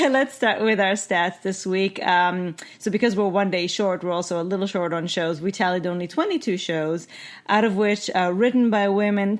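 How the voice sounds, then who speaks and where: A woman from Israel hosting a casual podcast chat, talking in a teasing way with frequent laughs and restarts. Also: mid-range voice. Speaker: podcast host.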